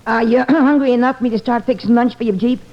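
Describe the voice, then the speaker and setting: A woman's voice: fairly high pitch, fairly even intonation, quick pace, over loud music, close to an everyday voice. A woman playing a patient, slightly puzzled homemaker in an old-time radio family comedy, obliging but mildly exasperated. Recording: muffled old radio recording.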